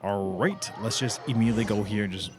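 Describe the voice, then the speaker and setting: Low-voiced man streaming a game, impatient. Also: fast talker. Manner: impatient, exasperated, slangy. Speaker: live streamer playing a game. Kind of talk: casual gameplay commentary with swearing. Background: video game music.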